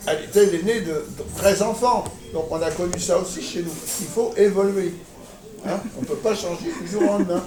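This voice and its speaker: An older man speaking bluntly and provocatively in a debate, with frequent restarts. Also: high voice. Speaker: audience member. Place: conference hall with audience. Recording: echoey hall, distant mic, audience.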